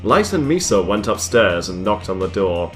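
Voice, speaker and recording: Male host, comic narrator, low voice, wry asides. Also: very animated, moderate pace, faint music behind. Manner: mocking, laughing asides. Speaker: reader, host. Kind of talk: comic dramatic reading.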